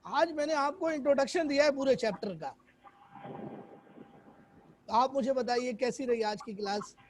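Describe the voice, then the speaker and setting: A hoarse man teaching a chemistry class online, quizzing students and repeating English definitions. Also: extremely high pitch, moderate pace, a little hiss or hum, low-quality recording.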